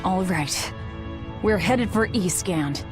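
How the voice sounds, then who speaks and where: A woman playing a cold, driven young leader in a video game, curt and deadpan. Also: fairly low pitch, takes it slowly, over music. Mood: businesslike. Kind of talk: animated video game dialogue.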